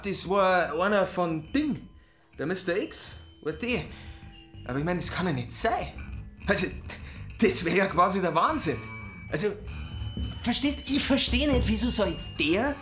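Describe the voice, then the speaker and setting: A man acting a cocky, excitable small-time rocker in a radio drama, with a Bavarian accent and punchy slangy delivery. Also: high-pitched, unhurried, pauses often, reverberant, soft background music. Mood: baffled, rambling. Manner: colloquial, punchy, tags sentences with questioning tics.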